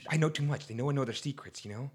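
A man's voice: medium pitch, rapid-fire, a crowd in the background, lightly acted. A man playing a panicky, paranoid talking lab mouse in an improvised comedy radio play, stammering and repeating himself. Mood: terrified, frantic.

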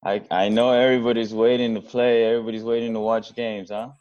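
A man's voice: mid-range voice, little pitch movement, fast talker, darkish tone. A man hosting a podcast interview, friendly and upbeat with slangy greetings. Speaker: podcast host. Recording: dull lo-fi audio.

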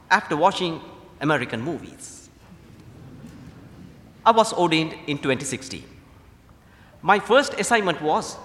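Sri Lankan priest preaching in a reverberant hall. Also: male voice, on the high side, fairly bright tone. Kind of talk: sermon. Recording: echoey hall with long reverb.

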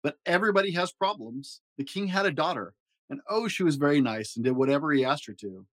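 A man reading a children's fairy tale aloud in a gentle storytelling manner. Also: expressive, fast, no room sound. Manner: gentle storytelling with varied pitch for emphasis. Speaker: storybook reader.

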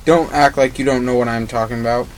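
A man's voice: mid-range voice, steady tone, quick pace, lightly acted. A man playing an earnest, sensible, matter-of-fact police officer in an amateur old-time radio drama. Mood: suspicious.

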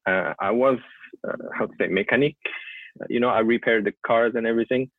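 A man's voice: mid-range voice, brisk, a little hiss or hum. French-accented man on a tinny call line. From France. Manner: hesitant, repeats words while searching for English. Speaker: guest photographer. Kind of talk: casual interview. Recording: tinny call audio, dry.

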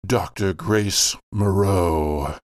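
A man voicing a menacing villain who speaks through an alien monster's body, slowly and ominously, in a tabletop horror game. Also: low-pitched, no echo, studio quality, pitched below the performer's own voice. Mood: menacing triumph. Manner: slow, ominous, deliberate. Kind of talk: tabletop roleplay villain acting.

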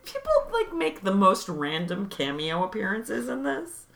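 Animated, playful woman co-host. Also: mid-range voice, unhurried, vocal fry. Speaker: podcast co-host. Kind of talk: casual chat and story reading.

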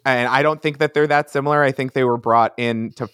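A man's voice: medium pitch, steady tone, a little fast, fairly bright tone, clear, resonant tone, dry recording, clean studio sound. A man co-hosting a podcast in casual fan chat, with a campy, slangy and playfully emphatic way of talking. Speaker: podcast co-host.

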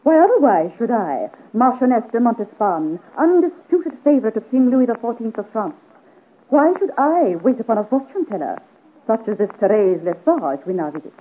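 Haughty marchioness, muffled old radio. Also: female voice, fairly high pitch, big pitch swings, normal speed, husky. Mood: imperious. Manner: imperious, grand. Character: haughty ambitious 37-year-old marchioness, royal favourite of France. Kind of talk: 1950s radio serial acting.

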